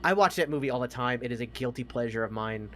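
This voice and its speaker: A man's voice: medium pitch, expressive, fast, fairly bright tone, slight background noise. An American man in his thirties, a podcast co-host chatting casually and emphatically about films, with plenty of swearing.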